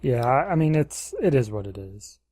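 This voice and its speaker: A man chatting casually as a podcast co-host, low-key and noncommittal, hesitating as he searches for words. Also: sing-song, moderate pace, warm tone, no room sound, clean studio sound. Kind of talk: casual chat.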